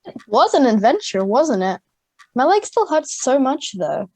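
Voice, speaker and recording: A woman's voice: sits high, big pitch swings, measured pace, mellow, exaggerated voice. An Australian girl of ten or eleven playing an offhand, grumbling schoolgirl in an amateur radio play. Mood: matter-of-fact. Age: child, 10 to 11. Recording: home video-call recording.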